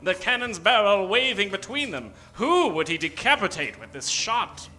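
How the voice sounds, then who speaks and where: A man's voice: sits high, big pitch swings, moderate pace, pushed and bright. A man narrating and announcing an improvised old-time radio comedy in a theatrical, dramatic way.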